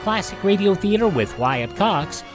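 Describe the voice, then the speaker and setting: A man's voice: sits high, very animated, a little slow, music in the background. A man hosting a radio show with folksy, scripted narration. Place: dry studio.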